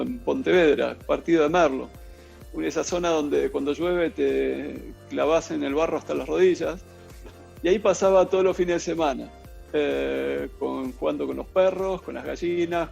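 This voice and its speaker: A man's voice: a little high, gravelly, a little mellow. A middle-aged man with a Buenos Aires accent, telling his life story as an interview guest in a rambling way, full of fillers. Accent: Buenos Aires Argentine Spanish. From Argentina. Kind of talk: casual interview.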